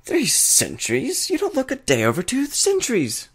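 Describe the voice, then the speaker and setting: An eccentric chimera prince, cheeky and flamboyant. The pitch is pushed high and leaps about theatrically, in a smooth, teasing fantasy storybook voice. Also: male voice, huge pitch swings, normal speed, fairly bright tone, exaggerated voice. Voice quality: smooth voice with an oddly placed accent.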